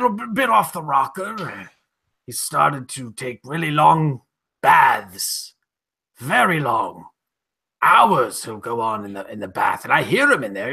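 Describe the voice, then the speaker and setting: A man playing a high, fretful gentleman sidekick. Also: creaky, husky, full character voice. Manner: rambling, hesitant, swooping exclamations. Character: fretful, excitable, dithering gentleman sidekick to a detective. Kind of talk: comic old-time radio play parody.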